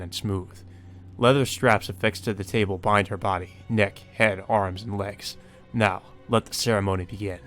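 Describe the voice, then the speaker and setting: A man voicing a cold, methodical killer narrating calmly and deliberately in a visual novel. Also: on the low side, natural voice. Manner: calm, deliberate. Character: cold methodical male killer musing over his ritual.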